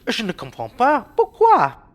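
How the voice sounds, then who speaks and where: A woman playing an amorous, pushy gentleman in an amateur radio play, wheedling and insistent. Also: on the high side, wildly swooping pitch, measured pace, exaggerated voice.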